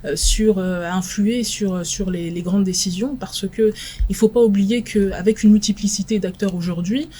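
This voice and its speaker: A woman expert guest on a televised panel debate, from France, answering in a measured, analytical way with frequent halting pauses. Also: medium pitch, warm tone, husky. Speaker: guest expert in international relations.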